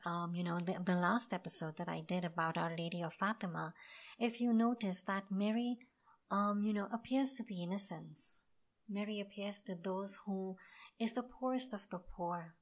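A woman's voice: on the low side, a bit bright. A woman hosting a devotional podcast, talking in an earnest, reflective way with frequent fillers and restarts. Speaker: podcast host.

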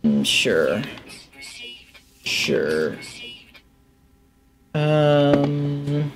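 A man's voice: a little high, big pitch swings, very slow, soft background music. A man giving casual, offhand gameplay commentary, musing aloud to himself into a headset mic. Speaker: gaming let's player. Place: indoors at a desk.

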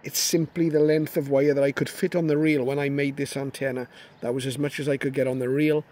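A man's voice: medium pitch, little pitch movement, brisk, darkish tone. A man casually demonstrating a radio antenna outdoors in a garden, recorded on a phone, thinking aloud with fillers and restarts. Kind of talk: casual hands-on demo narration. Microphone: phone held near the radio. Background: radio static and station audio.

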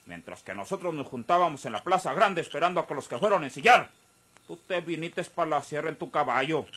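An actor playing a stern, vengeful old ranch boss in a Mexican radio drama, with rural Mexican speech and an accusing, commanding manner. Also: male voice, on the high side, slightly rough. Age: older. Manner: forceful, accusing, rustic speech.

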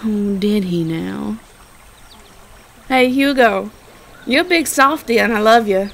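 A woman playing a tender, easily overwhelmed Southern belle girlfriend in a roleplay audio drama, with a Southern US accent and a halting, breathy delivery. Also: mid-range voice, expressive, exaggerated voice. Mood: fond. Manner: soft, halting, repeats words.

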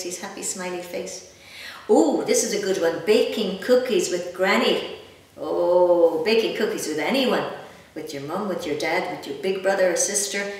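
A woman in her fifties with an Irish accent reads a picture book aloud to children, expressively, with chatty asides and questions. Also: mid-range voice. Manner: animated, gently expressive, addressing young listeners with questions. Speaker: guest storyteller. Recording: echoey room, distant mic, faint noise.

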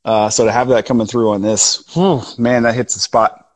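A man in his thirties talks through a bourbon tasting in an easygoing, upbeat way. His voice sits at an ordinary middle pitch. He is heard over a phone video call from a backyard. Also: moderate pace. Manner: relaxed, enthusiastic about flavors. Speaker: whiskey reviewer co-host. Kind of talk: casual tasting chat. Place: outdoors in a backyard.

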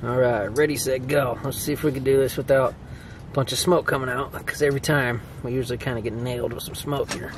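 A man's voice: mid-range voice, fairly even intonation, fairly quick. A man in his thirties vlogging while camping in a tent in the woods at night, exclaiming and joking in a casual way. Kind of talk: casual solo vlog narration. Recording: wind and outdoor noise behind.

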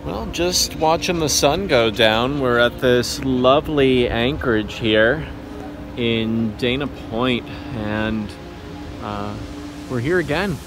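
A man's voice: medium pitch, slow, music in the background. A man in his thirties casually narrating a sailing vlog outdoors on a boat, talking into a phone held at arm's length in an easygoing way. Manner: relaxed, easygoing commentary with fillers. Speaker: sailing vlogger. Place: outdoors on a sailboat at sea.

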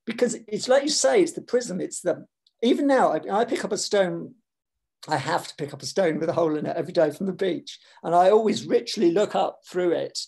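An older man speaks over a video call from his home, his voice gravelly and husky. He talks in an animated, digressive way, restarting his sentences as he reflects. The tone is that of a literary conversation. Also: sits high, fairly quick. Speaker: author in panel conversation. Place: home room with shelves.

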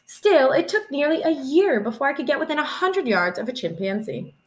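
A young woman in her twenties, a teacher reading a children's picture book aloud in an expressive storytelling manner, recorded indoors at home on a webcam. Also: sits high, a little fast, slight background noise. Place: small room at home, indoors.

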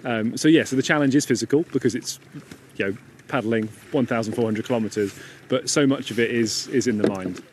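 A man interviewed outdoors by the water speaks in a reflective, steady way about his team. Faint outdoor sound lies behind. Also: medium pitch, fairly quick, slight background noise. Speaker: expedition team member. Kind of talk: interview answer.

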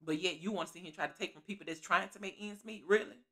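A middle-aged woman telling a story in her living room in a sassy, animated, preachy way, laughing often, into a webcam. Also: on the low side, fast. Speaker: storytelling vlogger. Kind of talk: casual storytime vlog. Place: living room at home, seated on a couch.

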